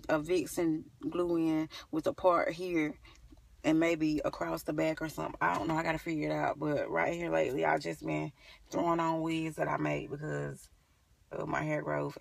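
A young woman with a creaky, fry-laden voice chats informally to her phone in a car. She rambles and thinks aloud in a casual vlog style, and the phone picks her up from a little distance. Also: sits low. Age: young adult. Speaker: beauty vlogger. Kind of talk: casual chat to camera. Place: inside a parked car. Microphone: phone at arm's length. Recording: slightly distant phone recording.

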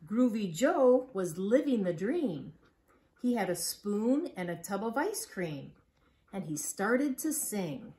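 A woman reads a children's picture book aloud in a living room, with playful emphasis and deliberate phrasing. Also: mid-range voice, sing-song, mellow, slight background noise. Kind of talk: expressive children's storybook read-aloud. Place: living room at home, on a couch.

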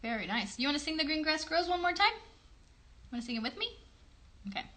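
A woman's voice: sits high, sing-song, fast, pushed and bright. A woman in her thirties chatting to children as a livestream host, explaining simply and encouragingly with lots of questions. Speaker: host and puppeteer of a children's show. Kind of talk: child-directed chat on a livestream. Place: small bedroom-like room indoors. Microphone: phone a short distance away.

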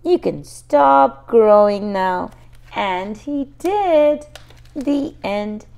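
A woman's voice: medium pitch, lively intonation, drawn-out words, vocal fry, a little mellow. A woman reading a picture book aloud for young children, expressively and speaking directly to the little listeners. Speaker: children's storybook reader and host.

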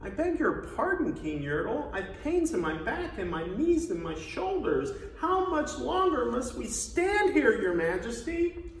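A man's voice: very high voice, sing-song, a little slow, roomy sound, distant mic, pitched above the performer's own voice, exaggerated voice, rough recording. A man voicing a meek little turtle from a storybook, politely pleading in a plaintive, groaning way.

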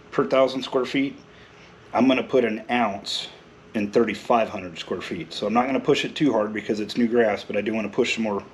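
A man's voice: on the low side, a little mellow, rough recording. A middle-aged American man talking casually in a plain-spoken, matter-of-fact way, like a vlog host explaining a job. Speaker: host of a lawn care vlog. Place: outdoors in a residential yard, later an open garage. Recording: clear outdoor ambient noise.

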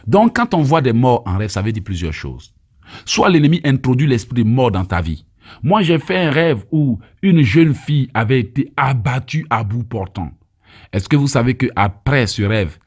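A man's voice: on the low side, darkish tone, slightly rough. A man in his thirties from Côte d'Ivoire, with an Ivorian French accent and Abé as his first language, preaching emphatically with frequent stammered restarts, filmed outdoors on a phone held at arm's length. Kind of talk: deliverance prayer teaching.

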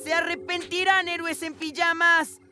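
A woman's voice: extremely high pitch, flat delivery, moderate pace. A woman voicing a scheming, bossy boy inventor villain in a theatrical cartoon dub, in Latin American Spanish. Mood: gloating. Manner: theatrical, gloating. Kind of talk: cartoon dubbing. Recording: loud music behind the voice.

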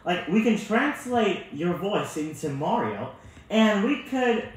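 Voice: mid-range voice, sing-song, takes it slowly. A performer voicing a friendly, scheming male puppet prankster in an amateur skit, casual and conspiratorial. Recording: very echoey bare room.

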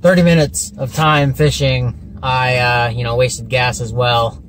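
A young man talking casually to the camera in a relaxed, chatty way with lots of fillers, first in a store and then from his car. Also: mid-range voice, a little slow, background noise. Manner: relaxed, chatty, self-mocking, many fillers. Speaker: fishing vlogger. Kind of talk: casual vlog talk to camera. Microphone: camera mounted on dashboard.

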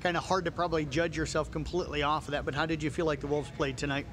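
A man working as a radio sports reporter, asking quick, friendly post-game interview questions in a school gym. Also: fairly high pitch, flat delivery, a bit bright. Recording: crowd noise in a gym.

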